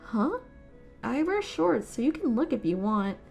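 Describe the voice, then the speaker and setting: A woman's voice: on the high side, big pitch swings, a little slow, vocal fry, a little mellow, breathy edge, soft background music, heavily acted. A woman voicing a cute, teasing, caring teenage girl team manager in a manga dub. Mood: playful, teasing.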